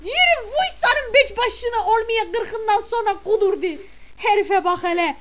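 A woman playing a shrill gossiping village mother. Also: expressive, moderate pace, fairly bright tone, a little hiss or hum. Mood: gossipy. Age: middle-aged. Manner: chatty, exclamatory, scolding. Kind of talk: comic character monologue.

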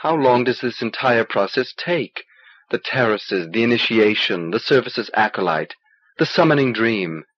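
Man playing an earnest, husky young traveler. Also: medium pitch, animated, normal speed, dry recording. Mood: uneasy. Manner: insistent, questioning. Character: young male traveler, earnest, stubborn, questioning. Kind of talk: audiobook character dialogue. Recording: dull old cassette transfer.

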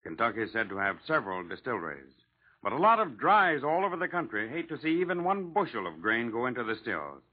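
A man's voice: medium pitch, big pitch swings, fast talker, husky. An American male correspondent delivers a scripted 1940s radio report in a mid-range voice. His manner is wry and colloquial. The old recording is thin and tinny, like a phone line.

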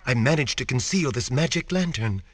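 A man's voice: medium pitch, animated, normal speed, a bit bright, lightly acted. A man voicing a pompous, fussy older mayor in a cartoon game. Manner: fussy, cowering.

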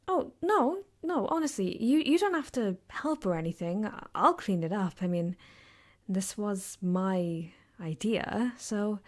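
A woman playing a caring, affectionate girlfriend in an ASMR comfort roleplay, speaking softly and gently with hesitant, reassuring warmth. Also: mid-range voice. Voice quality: soft, gentle, sometimes dropping to a whisper.

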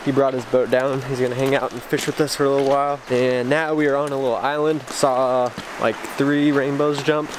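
A man's voice: mid-range voice, level tone, fairly quick, hardly any pauses. A man in his twenties chatting casually in a laid-back, slangy way, vlogging outdoors into a handheld camera. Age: 20s. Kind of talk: casual outdoor vlog chat. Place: outdoors on a riverbank by a boat. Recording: clear outdoor background noise, boat and water.

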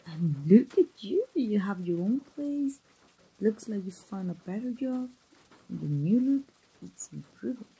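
A woman's voice: medium pitch, lively intonation, unhurried, warm tone, husky, exaggerated voice, rough recording. A woman, voicing a chatty, teasing young best friend in an ASMR roleplay, playful and familiar, as if speaking straight to the listener. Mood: warm, curious. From Mexico. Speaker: voice actor.

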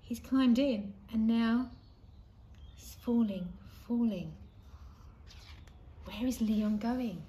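A middle-aged woman reading a children's storybook aloud expressively, with deliberate, dramatic pauses. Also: fairly high pitch, dark tone. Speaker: primary school staff member reading aloud. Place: outdoors in a leafy school playground. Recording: faint outdoor ambient noise.

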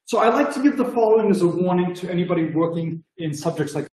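A man's voice: high voice, quick pace, a little mellow, reverberant, a little hiss or hum, low-quality recording. A middle-aged man with a Boston accent giving an enthusiastic, conversational math conference talk over a video call, with many "you know" fillers. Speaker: mathematics lecturer.

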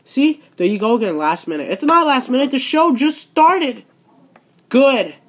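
A woman's voice: animated, unhurried, a little hiss or hum. A woman voicing a bratty female wrestling champion who whines and shrieks in tantrums. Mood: indignant. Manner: whiny, shrill, throws tantrums.

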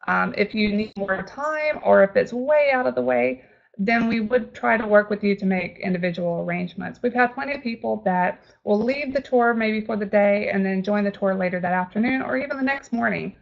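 A woman's voice: medium pitch, creaky, highs cut off. A woman with a Texas accent, a travel agent giving a friendly, chatty webinar presentation with frequent ums and restarts.

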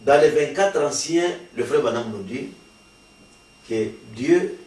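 Un pasteur d'âge mûr, originaire du Congo, qui explique la doctrine avec insistance et sérieux. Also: male voice, medium pitch, lively intonation, takes it slowly, darkish tone. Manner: earnest, explanatory, emphatic. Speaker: pastor, guest. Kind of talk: sermon-like interview answers. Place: small studio with patterned wallpaper. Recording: roomy, distant, faint hiss.

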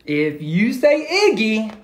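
A middle-aged man reads to children at a slow, expressive pace. He speaks directly and encouragingly to his young listeners. It is recorded on a phone in an echoey room at home. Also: high voice, a little hiss or hum. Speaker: educator reading aloud to schoolchildren. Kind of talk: expressive children's read-aloud.

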